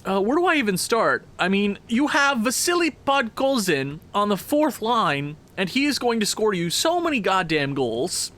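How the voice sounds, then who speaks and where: A man with a stuffed-up nose talks through a hockey video game in a casual, excitable way, full of quick asides. His voice sits high and has a bright, forceful edge. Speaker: gaming commentator.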